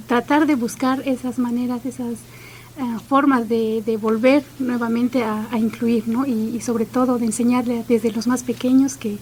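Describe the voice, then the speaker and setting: A woman whose first language is Chinantec, speaking as a guest in a radio conversation about language work, steady with frequent fillers. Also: a little high, slight background noise, low-quality recording.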